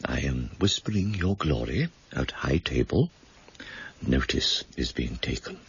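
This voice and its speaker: An actor playing a courtly, pompous older Oxford don with a husky, gravelly voice in a radio drama. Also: male voice, rumbling low, big pitch swings, a little slow, slight background noise, exaggerated voice. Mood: confiding, flattering. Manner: pompous, literary, hesitant when uneasy. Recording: dull, slightly muffled radio recording.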